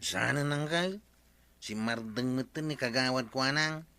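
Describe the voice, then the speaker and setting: A man's voice: medium pitch, unhurried, sharp tone, clean tone. A man acting in a Filipino radio comedy as a chummy, teasing, meddling village friend with an Ilocano accent. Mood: concerned, gossipy.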